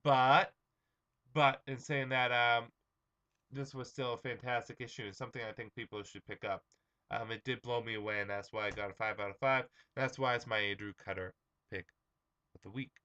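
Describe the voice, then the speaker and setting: A young man hosting his own comic review vlog, slightly hoarse, chatting casually with lots of ums and uhs. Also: mid-range voice, steady tone, normal speed, bright, forceful tone, muffled recording.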